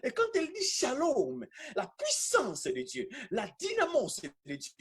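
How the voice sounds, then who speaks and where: A man preaching an animated, charismatic teaching sermon, emphatic and repeating phrases for effect. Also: very high voice, measured pace.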